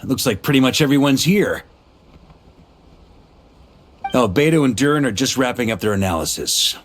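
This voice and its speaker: A man's voice: mid-range voice, lively intonation, quick pace, lightly acted. A man voicing an easygoing, confident knight commander in a video game. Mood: friendly. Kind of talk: video game character acting.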